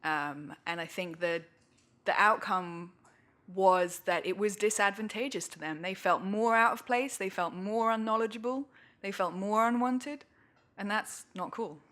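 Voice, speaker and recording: A woman's voice: medium pitch, unhurried. A woman researcher speaking informally and self-deprecatingly as she answers questions after a talk. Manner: informal, self-deprecating, animated slang phrasing. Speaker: guest speaker, museum education researcher. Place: indoor talk venue with audience.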